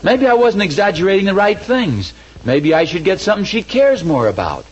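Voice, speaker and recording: A man's voice: sits high, very animated, a little fast, husky, heavily acted. An American man playing a befuddled, mild-mannered suburban husband in a 1940s radio comedy, with a hesitant, folksy way of talking. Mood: musing. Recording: dull, noisy old radio recording.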